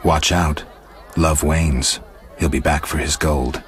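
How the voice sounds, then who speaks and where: An extremely deep-voiced, gruff monster hunter from a fantasy video game. He is stoic and dry, keeping his words short and deadpan, with some music behind him. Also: takes it slowly. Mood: dry, wary. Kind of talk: video game dialogue acting.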